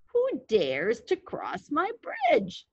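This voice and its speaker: A woman's voice: high-pitched, wildly swooping pitch, extremely slow, a little mellow, no echo, muffled recording, pitched above the performer's own voice, heavily acted. Woman voicing a mean growling old troll. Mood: menacing.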